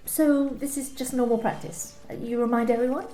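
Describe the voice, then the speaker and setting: A woman's voice: high-pitched, fairly even intonation, moderate pace, dark tone, natural voice. A woman playing a hesitant, defensive young employee. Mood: guarded. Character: guarded young female sales employee. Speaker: actor in an amateur radio play. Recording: roomy home recording, faint hiss.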